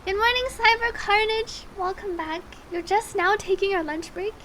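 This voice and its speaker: A woman's voice: very high voice, normal speed, bright, forceful tone, a little hiss or hum. A woman game streamer chatting casually and reading on-screen text aloud in a chatty, giggly way. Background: game music.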